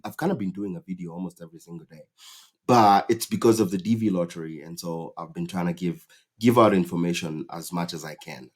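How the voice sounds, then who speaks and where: Man from Kenya, fairly low voice, casual channel host, rambling, lots of fillers. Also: a little mellow. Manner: rambling, many fillers and restarts. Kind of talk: casual explainer talk to camera.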